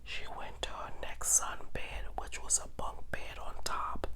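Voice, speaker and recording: Female ASMR storyteller: hushed breathy whisper, very unhurried, dramatic pauses between phrases. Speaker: storytelling channel host.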